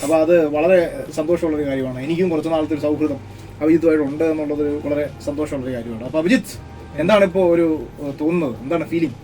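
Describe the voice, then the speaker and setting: Brief: male host, slightly high voice, racing casual questions. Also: rapid-fire, dark tone, recorded from a distance, soft background music, rough recording. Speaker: interviewer, host. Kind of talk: casual interview.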